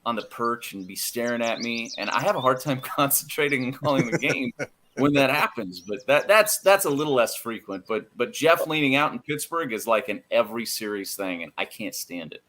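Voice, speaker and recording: An American man chatting casually as a podcast guest, telling anecdotes in an animated, self-deprecating way. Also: medium pitch, fairly quick, a little hiss or hum. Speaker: interview guest, radio play-by-play announcer.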